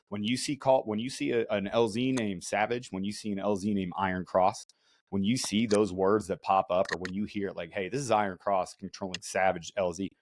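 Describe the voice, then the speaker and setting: A man's voice: deep voice, a little hiss or hum. An American man co-hosting a podcast, telling stories casually in a blunt, emphatic, profane way. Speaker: podcast co-host, military special operations veteran. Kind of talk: casual podcast chat, storytelling.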